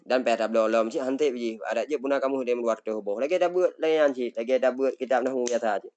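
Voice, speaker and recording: Man, Acehnese accent, middle-aged, religious teacher, slow Arabic reading with glosses, mid pitch. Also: darkish tone, lo-fi sound. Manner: reads Arabic phrases slowly, then glosses them in Malay-Indonesian. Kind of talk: kitab study lesson, reading Arabic text and explaining.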